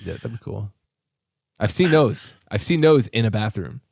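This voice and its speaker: A man's voice: lowish voice, expressive, moderate pace, vocal fry, darkish tone, breathy edge, no echo, studio quality. A man co-hosting a podcast, chatting enthusiastically and profanely with plenty of fillers. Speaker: podcast co-host. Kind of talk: casual chat.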